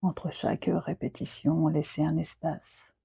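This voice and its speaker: A woman guiding a yoga nidra relaxation in a soft, breathy voice, speaking gently in short phrases with long, unhurried pauses. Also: deep voice, level tone, dry recording. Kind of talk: guided relaxation meditation, slow and soothing.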